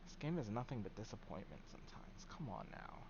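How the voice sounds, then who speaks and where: A soft-spoken man with a low voice talks through a live game stream in an easygoing way. His voice is quiet and doesn't carry far, and his words often trail off between fillers. Clear background noise sits under his voice. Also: big pitch swings, fairly quick, muffled recording. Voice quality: very soft voice that does not carry.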